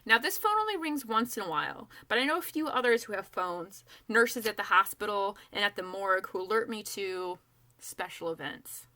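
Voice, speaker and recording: A woman's voice: mid-range voice, animated, moderate pace, bright, forceful tone. A woman voicing a rich, genial man who is eager and self-assured in a storybook reading. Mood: proud, eager. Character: rich, genial man proudly showing off his operation.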